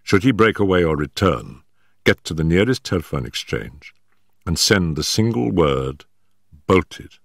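A man voicing a sharp, self-assured male detective who speaks with crisp emphasis in a storybook reading. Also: low voice, sing-song, a little slow, dry recording, studio quality, heavily acted. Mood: urgent. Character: sharp, commanding male detective, middle-aged.

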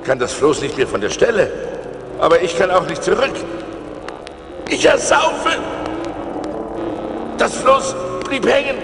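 An actor playing a male seafarer who tells his adventures in a slow, theatrical radio-play style, with dramatic swings, on an old vinyl record. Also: lowish voice, gravelly, exaggerated voice. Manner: dramatic, slow, swinging between hushed and exclaiming.

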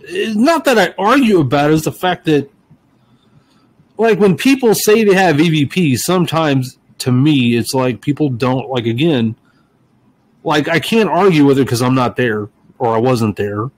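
A man's voice: fairly high pitch, lively intonation, moderate pace, rough recording. A man co-hosting a podcast, asking skeptical questions and talking in long, winding stretches. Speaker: podcast co-host. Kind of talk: casual chat.

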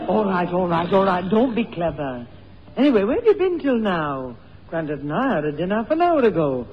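A woman's voice: on the low side, very animated, a little fast, some chatter behind. A woman playing a scolding but indulgent mother in a 1960s radio sitcom, exasperated and chiding. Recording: muffled old radio recording, noisy studio audience.

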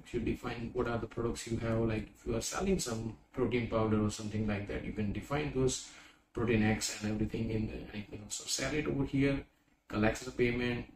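A man in his thirties giving a casual screen-recorded software walkthrough, talking conversationally with many "you know" fillers, recorded on a desk webcam. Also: medium pitch. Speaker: software product presenter. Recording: roomy with background noise.

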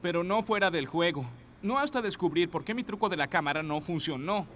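High, animated cocky teenage boy. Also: fairly quick, slight background noise. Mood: determined. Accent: Latin American. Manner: glib, wisecracking, excitable. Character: cocky, upbeat, wisecracking teenage boy ghost hunter. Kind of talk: animated cartoon dub acting. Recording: loud music under the voice.

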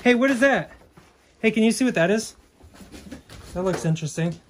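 Higher-pitched young man exploring. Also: lively intonation, normal speed. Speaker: urban explorer filming a video. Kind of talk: casual exploring chat, narrating finds. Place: inside a cluttered abandoned house. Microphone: handheld camera or phone, held by speaker.

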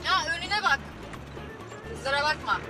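A woman's voice: high-pitched, animated, a little slow, crowd noise. A young woman acting a drunk, jealous and defiant figure in a TV drama, stubbornly repeating threats. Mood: jealous nagging. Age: young adult. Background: loud music.

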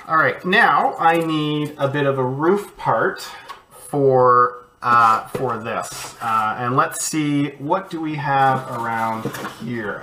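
A man's voice: mid-range voice, pushed and bright, a little hiss or hum. A man, an art educator, casually walking kids through a cardboard craft project from his home studio, encouraging and thinking aloud as he works.

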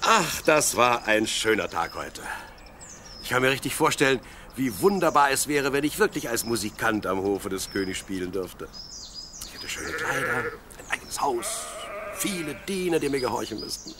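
A man's voice: mid-range voice, normal speed. An actor in a radio play voicing a dreamy, plucky young shepherd boy who muses aloud expressively. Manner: expressive, musing aloud to himself and animals. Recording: clear outdoor animal noise behind. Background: sheep, birds, outdoor ambience.

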